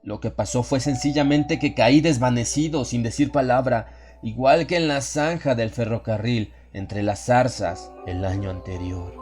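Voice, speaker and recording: A man reading a first-person horror story as a scripted audiobook, with measured, solemn and dramatic delivery. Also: mid-range voice, a little mellow, faint music behind. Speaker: audiobook narrator.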